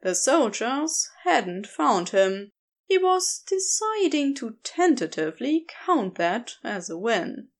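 A woman narrates fiction in a fairly high voice that rises and falls in lively swings. She takes her time, reading the scripted story with clear expression. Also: takes it slowly. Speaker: podfic reader.

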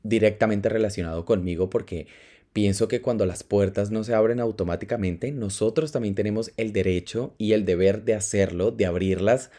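Low-voiced man telling a podcast story. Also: moderate pace. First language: Spanish. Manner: animated storytelling, drops in English phrases. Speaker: podcast host. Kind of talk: casual solo podcast monologue.

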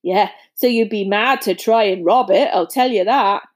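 A woman acts out a huge, gruff but kindly giant man from a storybook. He speaks in a rough, colloquial way, grunting, clipping his sentences and taking pride in himself. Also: mid-range voice, moderate pace, close to an everyday voice. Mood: proud, earnest. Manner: colloquial, dropped words, grunting. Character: big gruff friendly giant man, proud and rustic.

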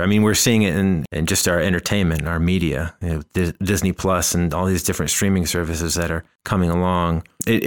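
A man with a deep, smooth voice hosts a casual podcast conversation. He muses at length, restarting phrases as he works toward his point. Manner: thoughtful, rambling, often restarts phrases. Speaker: podcast host.

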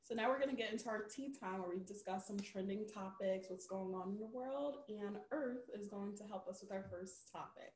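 A woman's voice: mid-range voice, a little fast, few pauses, a little mellow. A woman in her twenties co-hosting a casual panel chat, speaking earnestly and steadily. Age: 20s. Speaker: co-host. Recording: echoey room, faint ambience.

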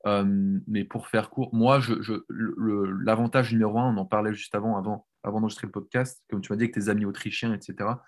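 A man talking as a guest on a podcast, animated and informal, often restarting his phrases. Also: on the low side, quick pace, few pauses. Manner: animated, frequent restarts and "tu vois". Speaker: language coach, podcast guest. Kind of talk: casual podcast conversation.

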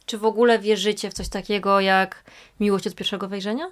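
A woman's voice: medium pitch, level tone, a little fast. A woman interviewer chatting casually and conversationally with her guests.

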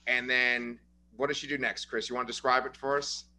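A man's voice: medium pitch, a little fast. A man co-hosting a casual movie review podcast, telling scary scenes with lots of swearing and laughter. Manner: profane, laughing, animated storyteller. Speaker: podcast co-host.

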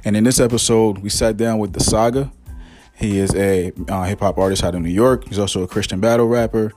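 A man's voice: lowish voice, faint music behind. A man in his forties from Haiti, hosting a podcast and chatting casually with a guest, with many fillers. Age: 40s. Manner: fast, relaxed, conversational with fillers. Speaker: podcast host. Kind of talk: casual interview chat.

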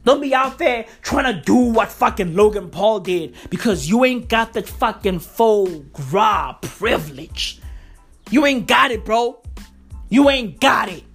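A woman hosting a podcast in a loud, profane comedic rant, her voice going hoarse. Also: on the high side, unhurried, faint music behind. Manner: loud, excitable, swearing constantly, slangy. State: hoarse, losing voice. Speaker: podcast host.

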